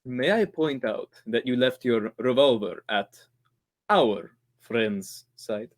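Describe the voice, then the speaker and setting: A suave, scheming doctor from an old-time radio thriller, performed by a man. The delivery is very slow and drawn out, swinging widely in pitch, with theatrical courtesy covering sly calculation. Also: animated. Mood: smooth, calculating. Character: suave, scheming male doctor who hires killers.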